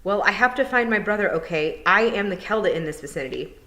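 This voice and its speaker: A woman's voice: medium pitch, expressive, fast, bright, forceful tone, voice pushed up, rough recording. A woman voicing a practical, determined young girl with many questions in a storybook reading. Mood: determined, impatient.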